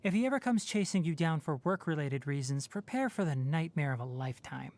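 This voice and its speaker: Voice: medium pitch, animated, fairly quick, a little mellow, close to an everyday voice. Young fox-eared male ranger voiced by an actor, video game character, light androgynous voice, articulate, scholarly, dryly wry. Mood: earnest, advising. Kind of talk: scripted game character voice lines.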